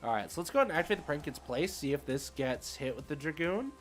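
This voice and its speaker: A man's voice: fairly high pitch, big pitch swings, fast, faint music behind. A man hosting a livestream and giving casual, slangy commentary on a card game as he thinks aloud.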